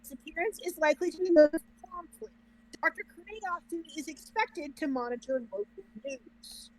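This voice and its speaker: High-voiced woman chatting casually. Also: expressive, moderate pace, slight background noise, low-quality recording. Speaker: reaction video co-host. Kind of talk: casual reaction chat.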